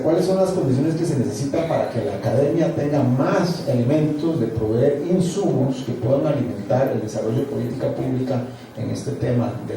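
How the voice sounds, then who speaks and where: A man's voice: medium pitch, vocal fry, dark tone. A male panel moderator, posing long formal questions to a guest. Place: large hall. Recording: echoey hall, far from mic, some noise.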